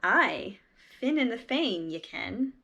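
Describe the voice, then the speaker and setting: A woman's voice: medium pitch, very animated, slow pace, gravelly, bright, forceful tone, dull audio, full character voice. A woman reading aloud as an older Scottish landlady in a storybook, speaking in a folksy, earnest way. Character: older Scottish landlady, brisk folklore believer.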